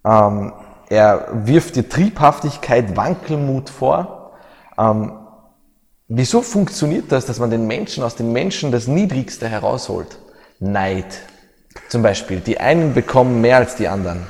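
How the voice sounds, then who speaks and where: A man's voice: medium pitch, lively intonation, measured pace, a little mellow. A man asking questions as an interviewer in a conversational way, hesitating and searching for words as he builds each point. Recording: long reverb of a hall.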